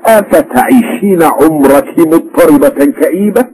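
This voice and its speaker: A man's voice: fairly high pitch, big pitch swings, moderate pace, darkish tone, slightly rough, voice pushed up, low-quality recording. A man voicing a stubborn, selfish husband who answers curtly and irritably. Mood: irritable.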